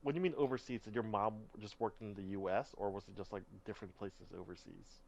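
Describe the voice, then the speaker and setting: A young man hosting a casual podcast chat, asking questions in halting restarts. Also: fairly low pitch, creaky, darkish tone, lo-fi sound. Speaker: podcast host.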